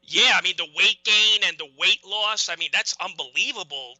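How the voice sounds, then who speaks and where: Brooklyn guy hosting, high bright voice, stumbles over his questions. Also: moderate pace, no echo, low-quality recording. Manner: stammering, restarts questions. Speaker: interviewer host. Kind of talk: casual interview.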